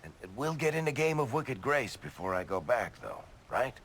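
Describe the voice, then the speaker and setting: An actor playing a middle-aged roguish dwarf in a video game, wry and offhand with a gravelly voice. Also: male voice, medium pitch, very animated, normal speed, a bit bright, a little hiss or hum. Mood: casual, sincere. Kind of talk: video game dialogue acting.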